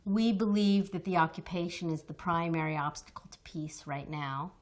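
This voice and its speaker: An American woman with a somewhat low voice, answering interview questions at length. She speaks with earnest, emphatic conviction and often repeats a word as she restarts a thought. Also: normal speed. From United States. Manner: passionate, emphatic, repeats words when restarting. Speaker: interview guest, activist.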